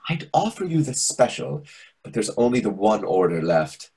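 A man in his thirties playing a fussy, condescending waiter with a deliberate, pompous manner in a comedy reading over a video call. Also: fairly low pitch, big pitch swings, creaky, darkish tone, breathy edge, heavily acted, rough recording. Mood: deadpan. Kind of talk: readers theatre comedy acting. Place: indoor room on a video call. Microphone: video call microphone.

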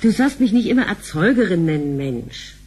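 An actress playing a middle-aged, sharp-tongued wife and mother in a sci-fi radio play, brisk and sardonic. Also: mid-range voice, very animated, a little hiss or hum, lightly acted. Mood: suspicious, irritated. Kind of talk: radio drama acting.

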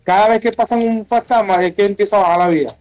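A man's voice: sits high, quick pace, darkish tone, dry recording, highs cut off. A man with a Venezuelan accent chatting casually as he games, full of slang and swearing. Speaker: gamer streaming an online role-playing game. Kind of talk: casual gaming chat.

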